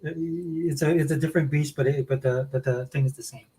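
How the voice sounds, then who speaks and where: A man's voice: on the high side, fairly even intonation, brisk, very noisy. A man raised in the US South, originally from Hawaii, co-hosting a casual livestream chat, rambling and opinionated with frequent restarts. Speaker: co-host of a gaming podcast.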